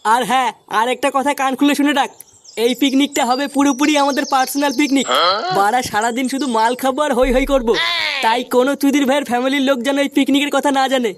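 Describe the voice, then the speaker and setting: A crude young gang boss played by a man gives orders in a comic cartoon. He has a high, rapid voice, impatient and commanding. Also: steady tone. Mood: bossy. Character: bossy, foul-mouthed young male gang leader.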